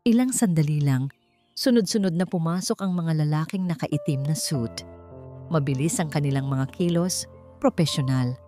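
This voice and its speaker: A woman with a Filipino accent narrating a scripted romance story in a dramatic, deliberate way. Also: low-pitched, dark tone, soft background music.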